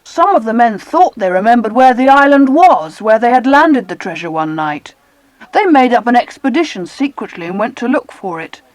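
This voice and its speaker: Keen British kid on an island adventure, very high, lively, well-spoken, for an audiobook play. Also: female voice, moderate pace, no room sound, low-quality recording. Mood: eager storytelling. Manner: eager, expressive storytelling. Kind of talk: dramatized children's audiobook acting.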